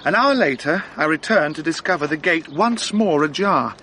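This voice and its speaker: An actor playing an earnest, dutiful French police sergeant in a radio drama, speaking formally as if giving a step-by-step report. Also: male voice, a little high, expressive, moderate pace, sharp tone, a little hiss or hum, rough recording.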